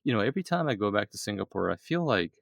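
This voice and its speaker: An excitable man hosting a relaxed interview, his voice low and gravelly. He talks in bursts with plenty of fillers and restarts. The sound is close and dry. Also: racing, no echo. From East Asia. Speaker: podcast host. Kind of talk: casual interview chat.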